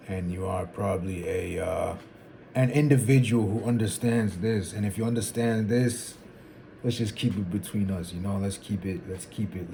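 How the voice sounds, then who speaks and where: A man in his twenties thinking out loud in a casual, rambling vlog, restarting sentences and dropping "like" and "bro". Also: on the low side, slight background noise. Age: 20s. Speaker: vlogger. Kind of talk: casual reflective monologue.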